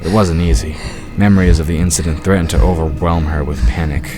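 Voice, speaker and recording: A man's voice: low voice, fairly even intonation, brisk, music in the background. A man giving scripted narration for a fantasy audio drama.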